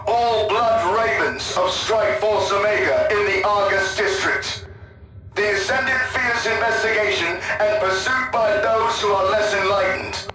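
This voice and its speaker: High-pitched, zealous military announcer. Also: male voice. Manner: declamatory, pompous military announcing. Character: zealous armored space soldier barking orders. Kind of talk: video game cutscene acting. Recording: echoing, distant voice over music.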